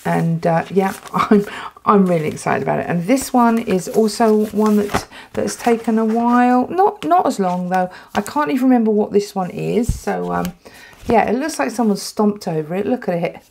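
English lady rambling through a sticker haul, gravelly voice, lots of ums, dry throat. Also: medium pitch, moderate pace, a little hiss or hum. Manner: chatty and excitable, with many ums and restarts. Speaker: planner vlogger. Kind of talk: casual unboxing chat. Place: indoors at a tabletop.

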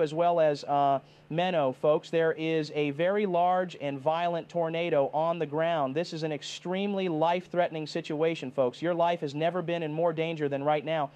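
Man acting as urgent, clear-voiced TV meteorologist. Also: on the high side, a little mellow, lo-fi sound, lightly acted. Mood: urgent, grave. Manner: grave, insistent, repeatedly addressing viewers as folks. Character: urgent male TV meteorologist warning of deadly tornado. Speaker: TV weather forecaster. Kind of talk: live severe weather broadcast commentary.

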